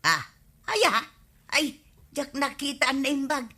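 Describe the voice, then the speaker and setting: A cheeky village housewife, stubborn about every bargain. Her voice is fairly high and sharp, with a Filipino accent and radio comedy acting. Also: animated, takes it slowly, full character voice. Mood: stubborn haggling.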